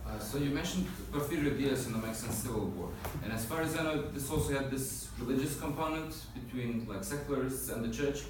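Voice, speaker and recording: A man in the audience asking a measured follow-up question. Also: medium pitch, background noise. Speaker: audience member. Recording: echoey hall, far from mic.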